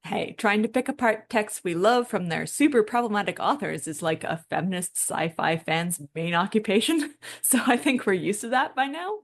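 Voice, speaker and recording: A woman's voice: medium pitch, expressive, moderate pace, hardly any pauses. A woman co-hosting a podcast, explaining things informally with a geeky bent in casual discussion. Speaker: podcast co-host.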